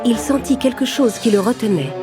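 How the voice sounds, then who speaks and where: A woman's voice: a little high, lively intonation, brisk. A woman narrating a scripted children's story as the storyteller. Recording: prominent music under the voice.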